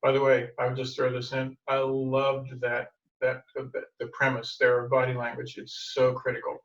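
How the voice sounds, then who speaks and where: A man's voice: medium pitch, completely flat delivery, normal speed. Man rambling through feedback on a video call. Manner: rambling, encouraging. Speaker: meeting evaluator. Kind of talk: giving meeting feedback. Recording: distant, echoey, dull video-call audio.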